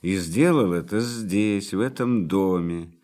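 A man's voice: low-pitched, slow pace, darkish tone. An actor in a Russian radio play playing a polite, matter-of-fact male scientist with a courteous, assured manner.

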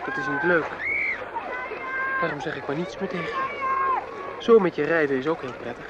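An earnest, sensitive lad in a radio drama. His voice sits fairly high and his manner is sincere and polite. The recording is muffled, with clear noise. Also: expressive, normal speed, over a noisy crowd. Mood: sulky. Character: earnest, touchy, lovestruck teenage boy. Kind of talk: radio play dialogue. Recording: dull, noisy radio play recording.

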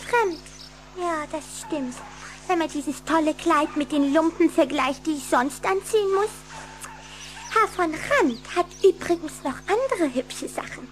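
A plucky high-voiced vampire girl. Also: a little slow, over music. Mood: coquettish, surprised. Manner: pert, coquettish, defiant. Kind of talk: German radio play acting.

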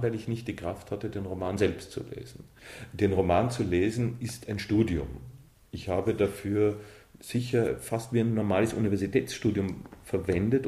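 A man's voice: fairly low pitch, warm tone. A man, talking reflectively and emphatically as an interviewed author. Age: 50s. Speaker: author and director being interviewed. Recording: roomy, reverberant interview sound.

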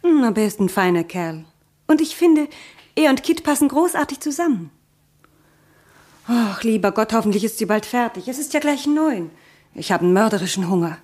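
An actress playing a cheerful, affectionate wife in a 1960s German radio play. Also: female voice, on the high side, expressive, normal speed, a little mellow, slight background noise, natural voice. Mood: light, chatty.

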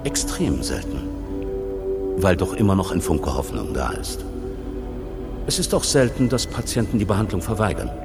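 Serious principled doctor in radio drama interview, deep voice, lots of inflection, emphatic, music behind. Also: male voice, very animated, normal speed, creaky, long pauses, exaggerated voice. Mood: firm, reassuring. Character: earnest, principled male hospital doctor being interviewed.

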